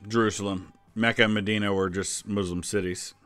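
A man in his forties with an American accent and a fairly low voice talks casually. He explains history in a conversational way, and the sound is dry and close. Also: fairly even intonation, a little fast, a bit bright, clear, resonant tone, no echo, faint music behind. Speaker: history vlogger, host.

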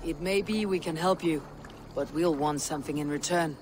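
An actor playing a stern, proud Viking chieftain in a video game, with a blunt, measured, commanding manner. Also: male voice, a little high, noisy background, natural voice. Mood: grave. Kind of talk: video game cutscene acting.

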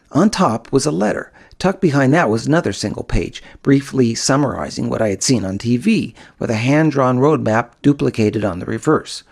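Lively male storyteller with creaky voice. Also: mid-range voice. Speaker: author and audiobook narrator. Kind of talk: first-person scripted narration.

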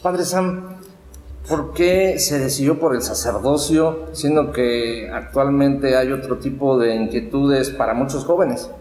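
A man's voice: medium pitch, measured pace, darkish tone, a little hiss or hum. Male journalist interviewer, formal questions, echoey room with long reverb and faint ambience. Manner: formal, addresses the guest by title.

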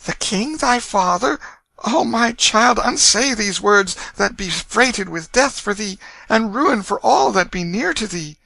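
A man's voice: sits high, animated, unhurried, creaky, pushed and bright, no echo, voice pushed up, heavily acted, low-quality recording. A man voicing a loving, anguished middle-aged mother in a storybook, pleading and lamenting in archaic English. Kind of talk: dramatic storybook reading.

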